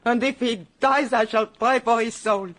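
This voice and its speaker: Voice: mid-range voice, fairly even intonation, normal speed, a bit bright, lightly acted. An actor playing a proud, pious and indignant woman in a radio drama, speaking emphatically in a stately manner. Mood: indignant, imperious. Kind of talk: detective radio drama acting.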